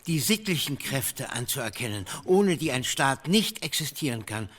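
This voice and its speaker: An actor playing a principled Social Democrat delegate of 1948, formal and deliberate, in a radio docudrama. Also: male voice, medium pitch, very animated, fairly bright tone. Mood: reserved, firm. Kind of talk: German radio docudrama acting.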